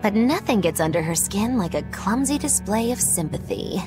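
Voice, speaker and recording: A woman's voice: medium pitch, lively intonation, normal speed, over music, lightly acted. A woman playing a flippant, teasing witch in a video game, theatrical and sardonic. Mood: wry. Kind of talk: English game voice acting.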